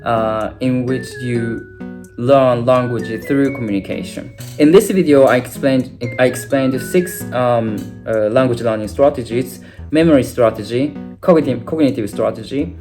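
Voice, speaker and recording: A man's voice: medium pitch, unhurried. A young man whose first language is Japanese, giving an unscripted video lesson with many fillers and restarts. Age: young adult. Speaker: language teacher. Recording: guitar music playing behind.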